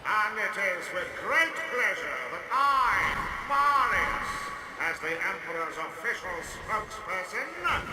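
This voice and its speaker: High-pitched gloating game announcer, slow and showy, echoey arena. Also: male voice, lively intonation, sharp tone, distant mic, exaggerated voice. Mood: grandiose. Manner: theatrical, gloating, booming announcer. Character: pompous male imperial spokesman, showman.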